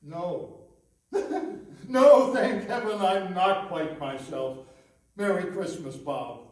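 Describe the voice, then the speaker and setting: Man acting high-voiced old boss, echoey room. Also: slow pace, darkish tone. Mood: jubilant. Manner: blustering mock-stern, then jubilant. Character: reformed old male miser boss, gleeful and generous. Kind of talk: radio play acting. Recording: echoey room, distant microphone.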